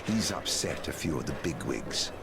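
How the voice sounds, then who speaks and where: A genial British gentleman with a low, creaky voice. He gossips with a British accent in a game cutscene, over clear music. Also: lively intonation, normal speed. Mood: chatty, wry. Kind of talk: scripted game cutscene dialogue. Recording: music behind the voice.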